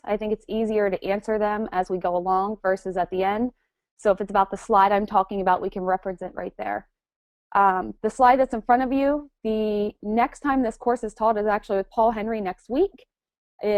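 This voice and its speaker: A woman's voice: medium pitch, no echo. Woman, has a cold, technical webinar instructor, explanatory, frequent fillers, dry sound. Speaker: webcast presenter, forensics instructor.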